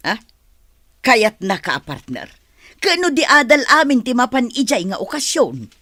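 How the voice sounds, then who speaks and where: A woman's voice: on the high side, sing-song, a little slow, a bit bright, dry recording, clean studio sound, heavily acted. A woman acting a snide, skeptical household worker in an Ilocano radio drama.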